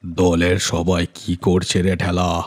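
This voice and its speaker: A man voicing a grim, brooding bandit gang chief in an animated horror story, measured and commanding. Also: low-pitched, breathy edge, full character voice. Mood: gruff, questioning. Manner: measured, authoritative. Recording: music bed behind voice.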